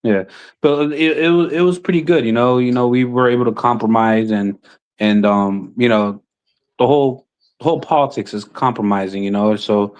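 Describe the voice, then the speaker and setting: A man who sits on a city council, talking casually and haltingly about politics, filling his speech with "you know" and restarts. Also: medium pitch, darkish tone, smooth. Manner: halting, many fillers and restarts. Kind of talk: casual chat.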